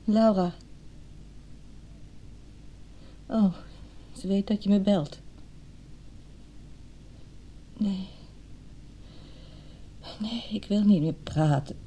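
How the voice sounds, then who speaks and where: An actress playing a wounded, bitter mistress in her thirties in a radio drama, speaking in a breathy, halting, often whispered voice. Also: medium pitch, mellow. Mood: wounded, guarded. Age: 30s. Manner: slow, halting, anguished. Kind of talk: radio drama acting, intimate inner monologue.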